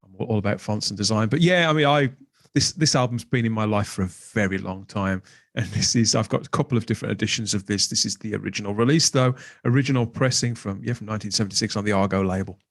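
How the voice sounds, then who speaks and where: A man's voice: fairly low pitch, fast talker. A middle-aged man chatting casually as a podcast guest, enthusiastic and rambling as he reminisces. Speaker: podcast guest, graphic designer. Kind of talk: casual chat.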